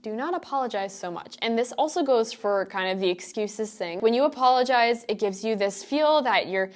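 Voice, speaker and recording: A woman's voice: fairly high pitch, lively intonation, normal speed. A young woman in her twenties, an acting student, giving casual and emphatic audition advice to camera, with lots of "like" and asides. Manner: chatty, emphatic, full of "like" and asides. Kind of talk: casual tutorial vlog to camera.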